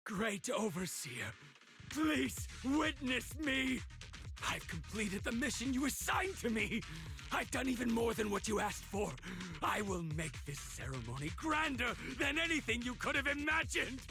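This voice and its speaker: An actor playing a fanatical cult doctor in a video game, theatrical and devout, declaiming with grandiose zeal. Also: male voice, very high voice, sing-song, measured pace, pushed and bright, heavily acted. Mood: fervent exaltation. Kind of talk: dramatic video game voice acting. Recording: game music behind. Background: game music.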